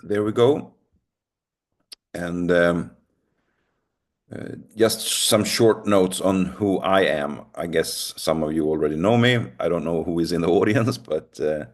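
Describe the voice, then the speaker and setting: A man's voice: low-pitched, measured pace. A middle-aged Swedish man with a Swedish accent, speaking English as a second language, giving a recorded lecture with frequent ums and uhs. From Sweden. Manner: hesitant, many ums and uhs, self-deprecating.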